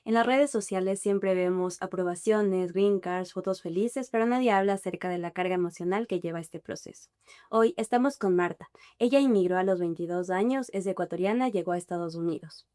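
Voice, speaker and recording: Podcast host lady, friendly questions, smooth clear voice. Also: fairly low pitch, brisk, dark tone, dry recording, studio quality. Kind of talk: podcast interview.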